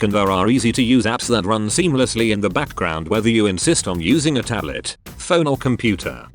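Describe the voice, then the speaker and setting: A man with a fairly low voice reads a scripted advert. His announcing is deadpan and mock-formal, with soft music underneath. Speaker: sponsor announcer. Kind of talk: scripted ad read.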